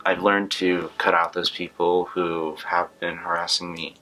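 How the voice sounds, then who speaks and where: A teenage boy, a high school senior, speaking in a measured, reflective way in a documentary interview. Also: little pitch movement, bright, forceful tone, low-quality recording. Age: teens.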